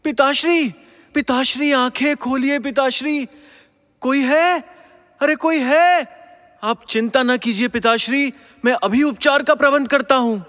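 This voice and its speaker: An actor playing a devoted young warrior prince in a Hindi mythological audio drama, earnest and formal, full of feeling. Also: male voice, very high voice, a little slow, fairly bright tone. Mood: anguished, pleading. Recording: long hall-like reverb.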